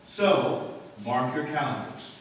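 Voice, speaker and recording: A man announcing in a measured, formal, scripted radio broadcast style. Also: medium pitch, animated. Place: hall with live audience. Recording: very echoey hall from far off, faint noise.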